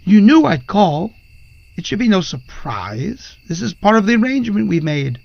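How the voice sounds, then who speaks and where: An actor playing an ancient spirit in a possessed body, speaking with formal, archaic, menacing grandeur in a horror audio drama. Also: male voice, sits high, sing-song, normal speed, vocal fry, a little mellow, dry recording, lo-fi sound, full character voice. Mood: cold, commanding. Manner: formal, archaic, theatrical diction.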